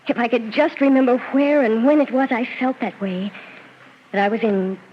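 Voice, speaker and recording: An actress playing an anxious, earnest young woman who speaks haltingly in an old radio mystery drama. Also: a little high, lightly acted. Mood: uneasy. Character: anxious, insistent young woman seeking a murderer. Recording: dull old radio with faint noise.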